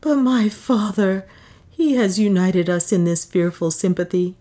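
A woman's voice: on the low side, takes it slowly, dark tone. A woman reading a story, voicing an anguished, tender young woman who pleads in impassioned, archaic speech. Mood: frantic, pleading. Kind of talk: dramatic audiobook storytelling.

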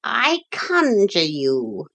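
A woman's voice: on the high side, lively intonation, slow, fairly bright tone, voice pushed up, full character voice. A woman voicing an earnest, dignified female parrot from a storybook, with formal, precise, pleading speech.

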